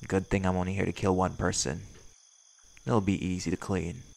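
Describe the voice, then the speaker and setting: A man's voice: sits low, flat delivery, moderate pace, creaky, darkish tone. A man voicing a brash, menacing werewolf in an audio roleplay, speaking in a blunt, curt, sardonic way. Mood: menacing, defiant. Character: brash, menacing male werewolf hunting his quarry. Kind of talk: scripted audio roleplay acting.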